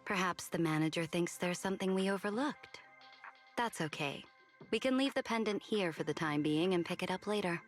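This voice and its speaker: A woman voicing a composed, smooth-talking woman in a video game. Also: fairly low pitch, moderate pace, lightly acted. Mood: calm. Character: composed, poised woman, cool and knowing. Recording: game audio under music.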